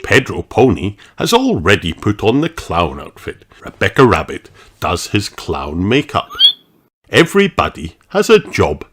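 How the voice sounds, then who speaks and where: A man reading a children's storybook aloud with expressive, deliberate narration. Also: deep voice, background noise. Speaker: storybook reader.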